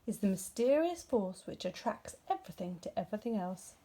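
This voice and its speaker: A woman's voice: medium pitch, very animated, brisk, mellow. A woman voicing a gloomy, self-important male rabbit in a children's storybook, lecturing severely. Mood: pompous. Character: grumpy, gloomy, pompous know-it-all male rabbit.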